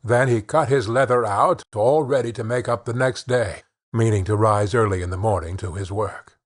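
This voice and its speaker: A man narrating a children's fairy tale in an expressive, measured storytelling manner, with a slightly husky voice. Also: lowish voice. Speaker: audiobook narrator.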